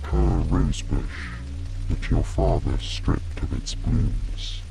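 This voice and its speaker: A man voicing a remorseful, reflective lord of a lonely chateau in a bedtime story, soft and woeful. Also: low-pitched, creaky, heavily acted. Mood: regretful, reflective. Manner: woeful, halting, confessional. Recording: rain and music behind.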